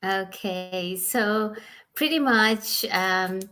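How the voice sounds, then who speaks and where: Slow-talking woman on a video call. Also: medium pitch, bright, forceful tone. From Sri Lanka. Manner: unhurried, many "um"s, laughs often. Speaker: guest. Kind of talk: casual video call interview.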